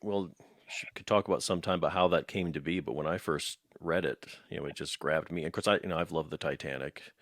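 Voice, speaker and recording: A man with a deep, low voice hosts a relaxed conversational interview in a very dry recording. He speaks emphatically, doubling up words to stress a point as he leads into his questions. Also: quick pace, no room sound. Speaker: interviewer, host.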